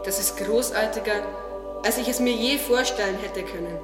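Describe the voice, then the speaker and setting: A woman's voice: mid-range voice, normal speed, natural voice. A woman playing a proud, curious talking garden tree in an audio drama. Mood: awed. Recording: echoey, long reverb, distant, under music. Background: music.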